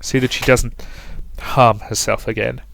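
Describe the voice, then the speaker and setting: A man voicing a guarded, worried marquis in a visual novel, speaking in a grave, measured way. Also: medium pitch, little pitch movement, creaky, a bit bright, slight background noise. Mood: firm, pained.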